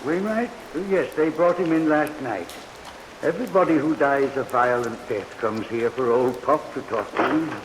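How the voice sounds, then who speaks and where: An actor playing a gentle, eerie old morgue attendant who talks with the dead, earnest and folksy, in a vintage radio drama. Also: male voice, medium pitch, normal speed, natural voice. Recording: vintage radio recording with rain hiss. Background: steady rain.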